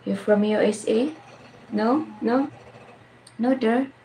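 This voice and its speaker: A woman's voice: a little high, slow pace, dark tone, slight background noise, poor audio. A Filipina woman with a Filipino accent hosting a casual live stream, chatting with viewers, greeting them by name and laughing often. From Philippines.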